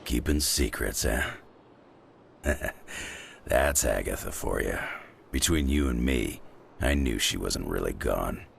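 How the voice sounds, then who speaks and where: A knowing, wry ally for a video game. His voice sits extremely low and crackles with gravel. He takes his time, confiding each line over faint ambience. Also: lively intonation, slow, long pauses, heavily acted. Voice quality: deep gravelly voice.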